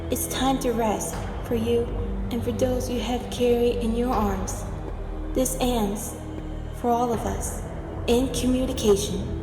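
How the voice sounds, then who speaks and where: A woman's voice: a little high, steady tone. A woman voicing a solemn grieving mother spirit. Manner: slow, solemn monologue. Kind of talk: game cutscene monologue. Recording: echoey hall reverb with music.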